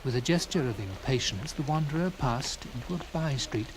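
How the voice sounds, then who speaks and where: A man reads a gothic tale in a theatrical, deliberate manner, leaving dramatic pauses between phrases. His voice sits at a medium pitch, with clearly audible ambient noise behind it. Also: lively intonation. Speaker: narrator. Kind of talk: dramatic first-person gothic story narration.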